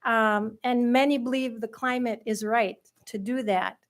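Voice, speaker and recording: Lady reading her notes at a town hall mic, soft voice, kinda high. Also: fairly even intonation, unhurried. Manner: soft-spoken, reading prepared remarks. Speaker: constituent speaking at a town hall. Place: public meeting room. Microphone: shared venue microphone.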